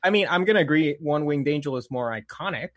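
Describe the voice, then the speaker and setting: Geeky male co-host, slightly high voice. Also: animated, quick pace, a bit bright, no room sound. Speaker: podcast co-host. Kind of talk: casual chat, geeky banter.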